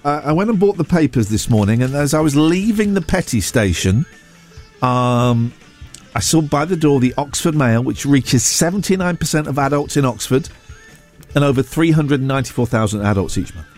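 A man's voice: medium pitch, lively intonation, moderate pace, darkish tone, faint music behind. A man hosting a morning radio show with a blocked nose, chatting casually and reading out stories in a rambling, jokey way, stumbling and correcting himself. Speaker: radio breakfast show host. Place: radio studio.

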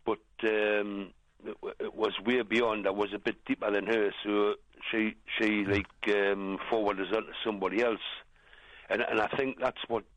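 A hesitant male caller, restarting his sentences as he talks. His voice sits a little low, and the sound is dry and close. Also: level tone, moderate pace, fairly bright tone, no room sound, poor audio. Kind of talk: phone call to radio show.

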